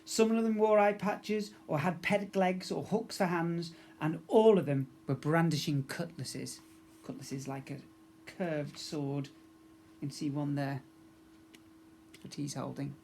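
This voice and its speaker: A man's voice: fairly high pitch, fairly even intonation, unhurried, a little mellow, slight background noise. A man narrating a children's story expressively, as a teacher reading to young pupils.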